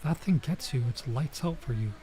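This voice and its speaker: A man's voice: mid-range voice, moderate pace, dark tone. Male let's player, middle register, casual read-aloud with loose commentary and stumbles, noisy recording. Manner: casual, reacts with asides and stumbles over lines. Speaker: streamer playing and reading a visual novel. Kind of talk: let's play, reading game text aloud with casual commentary.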